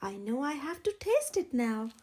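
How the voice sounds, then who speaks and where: Greedy storybook pig played by a woman: high, very animated, eager and wheedling. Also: moderate pace, breathy edge, full character voice.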